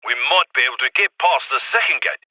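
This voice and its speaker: An actor playing a scrappy male heist handler in a video game, giving brisk, urgent instructions over radio comms. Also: mid-range voice, lively intonation, sharp tone, no echo. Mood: instructing.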